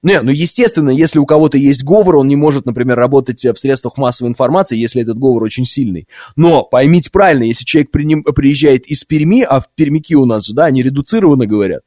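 A man's voice: medium pitch, fast, hardly any pauses, warm tone, no room sound, studio quality. A man from Russia's Orenburg region hosting live talk radio, giving opinions conversationally, repeating points and asking rhetorical questions. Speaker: radio talk show host. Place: radio studio. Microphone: close studio microphone.

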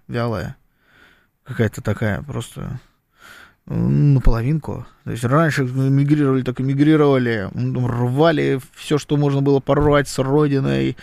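Gravelly-voiced male radio host. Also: mid-range voice, no echo. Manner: ironic, conversational, reads out messages lively. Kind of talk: radio talk show, reading listener messages.

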